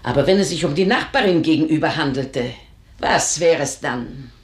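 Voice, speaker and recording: A woman's voice: sits low, expressive, normal speed. A woman playing a shrewd, blunt older housemaid in a radio drama, knowing and insinuating. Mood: knowing, insistent. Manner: knowing, insinuating, plain-spoken. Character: shrewd, blunt older female servant, needling and watchful. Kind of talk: German radio drama acting. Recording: roomy echoing studio.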